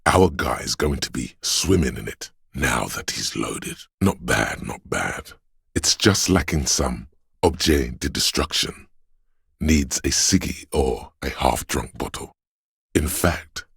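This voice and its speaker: A man voicing a sleazy, hedonistic inner voice of addiction in a video game, with a slow, coaxing, streetwise manner and a deep gravelly, husky sound. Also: lively intonation, fairly bright tone, no echo, clean studio sound, heavily acted. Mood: sly, musing. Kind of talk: video game character voice acting.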